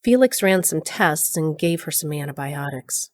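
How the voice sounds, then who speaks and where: A woman's voice: deep voice, animated, normal speed, dry recording. A woman delivering scripted narration for an audio drama.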